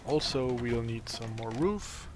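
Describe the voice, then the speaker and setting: A man with a raspy, tired voice giving casual gameplay commentary, thinking aloud as he plays. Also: medium pitch, noisy background. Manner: slow, thinking aloud while playing. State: voice strained from a long day of talking.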